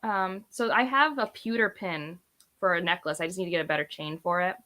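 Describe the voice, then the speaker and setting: Chatty woman, bright clear-cut tone, rambles on casually like shes with friends. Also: fairly low pitch, fairly quick. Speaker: book reviewer, read-along co-host. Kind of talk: casual chat.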